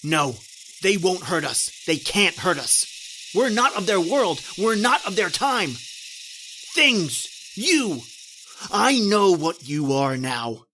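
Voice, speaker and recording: A man's voice: high-pitched, big pitch swings, slow pace, bright, forceful tone, a little hiss or hum, heavily acted. A man playing a guilt-ridden, terrified doomed man, declaiming urgently and melodramatically in old-time radio horror style. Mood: horrified, defiant.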